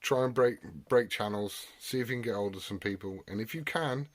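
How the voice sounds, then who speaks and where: A man's voice: on the low side, brisk, no echo. A British man chatting casually and unscripted as a hobbyist vlogger, rambling and blunt in his way of talking.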